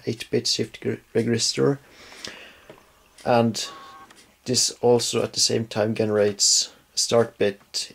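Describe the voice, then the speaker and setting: A young man from Norway with a Norwegian accent, showing off a homemade electronics project in a small room at home, explaining it haltingly with many fillers and self-corrections. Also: mid-range voice, husky. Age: young adult. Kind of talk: hobbyist electronics demo.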